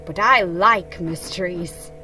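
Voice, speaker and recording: Woman as a slow, mild storybook bear. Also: lowish voice, sharp tone, faint music behind, voice pushed down, heavily acted, poor audio. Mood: puzzled. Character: mild, honey-loving, hopeful male bear.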